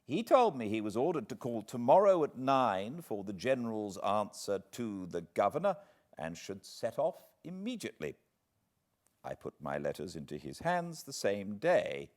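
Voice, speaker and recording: A man with a British accent reading a classic book aloud as an expressive audiobook narrator. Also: mid-range voice, measured pace. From Britain.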